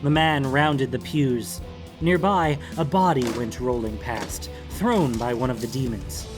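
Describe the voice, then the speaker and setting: A man's voice: medium pitch, measured pace, over music. A man reading scripted, dramatic fantasy narration as an audiobook narrator.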